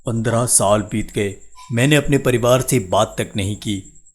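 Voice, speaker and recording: A man's voice: fairly low pitch, a little slow. Man playing earnest, weary village labourer. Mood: longing, hopeful. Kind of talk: Hindi cartoon story dialogue.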